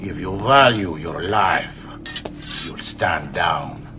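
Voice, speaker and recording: An actor playing a threatening, commanding villain in a video game cutscene. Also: male voice, sits low, lively intonation, takes it slowly, music in the background. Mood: menacing, commanding. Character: menacing villainous commander barking threats at enemies.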